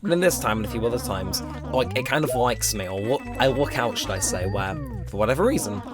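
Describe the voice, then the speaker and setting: A young man with a slightly hoarse, strained throat, rambling casually with lots of fillers while commenting on a game. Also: on the low side, expressive, brisk. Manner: rambling, filler-heavy, self-mocking. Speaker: let's play host. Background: game music.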